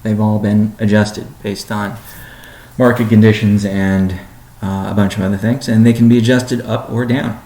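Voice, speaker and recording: A man, a company chief executive, answering community questions in a measured, deliberate, businesslike way on a weekly conference call. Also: on the low side, level tone, dark tone, echoey room.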